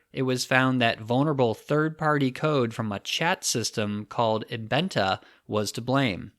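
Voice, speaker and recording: A man hosting a podcast, reading scripted news in a measured, clearly read delivery. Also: mid-range voice, fairly bright tone, dry recording, studio quality. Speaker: podcast host.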